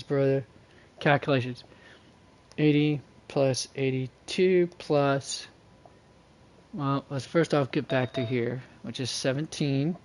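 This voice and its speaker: A man doing casual livestream game commentary, thinking aloud and muttering to himself in a folksy, slangy way. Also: a little high. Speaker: game streamer.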